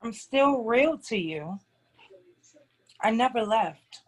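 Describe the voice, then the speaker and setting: Woman playing a slow, gentle ghostly daughter. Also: on the high side, lively intonation, poor audio. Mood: tender, pleading. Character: gentle forgiving ghostly young daughter, pleading girl. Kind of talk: dramatic script table read.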